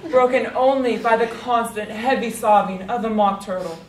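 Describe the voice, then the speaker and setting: A woman's voice: a little high, normal speed. A woman narrating a readers' theatre story in a dramatic, scripted storytelling style. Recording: echoey distant recording, faint noise.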